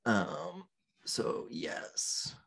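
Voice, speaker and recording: Slow, low-voiced male game master. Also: huge pitch swings, fairly bright tone, slightly rough. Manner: encouraging, hesitant with frequent ums. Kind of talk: running a tabletop game over voice chat.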